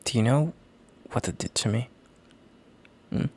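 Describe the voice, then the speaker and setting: A man playing a gentle, worried boyfriend in an intimate audio roleplay, speaking in a halting, tender, hushed way with a gravelly, husky, breathy voice. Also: medium pitch, close to an everyday voice. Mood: anguished, pleading. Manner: halting, tender, hushed, sighing between phrases. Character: gentle worried boyfriend, relieved and heartfelt, on a call.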